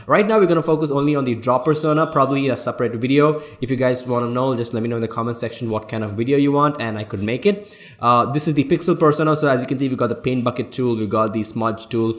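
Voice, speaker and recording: A man with an Indian accent hosts a software tutorial in a mid-pitched voice. He talks casually and informally, walking viewers through each step as a designer showing his screen. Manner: informal, addresses viewers as guys, explains step by step.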